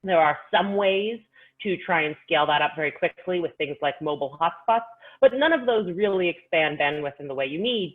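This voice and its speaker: A woman giving articulate, measured explanations as an expert panelist on a video call. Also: low voice. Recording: narrow, phone-like call audio.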